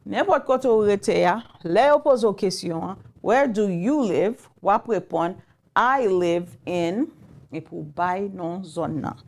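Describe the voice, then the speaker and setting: A woman with a Haitian Creole accent teaching English in a live online lesson, speaking slowly and repeating phrases as she writes them out. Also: mid-range voice, darkish tone. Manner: slow, repeats phrases while writing them out.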